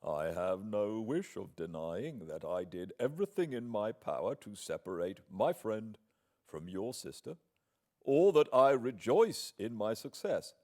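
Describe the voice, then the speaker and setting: A man voicing a proud, stiff English gentleman in an audiobook, speaking formally with forced calm over resentment. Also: fairly low pitch, measured pace. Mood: cold, haughty. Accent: British. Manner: haughty, clipped formal phrasing.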